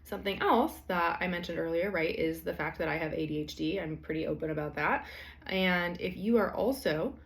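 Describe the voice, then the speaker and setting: Young woman, podcast host, a little hoarse, casual chatty delivery, lowish voice. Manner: casual and chatty with frequent fillers. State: hoarse from allergies. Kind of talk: solo podcast talk on productivity.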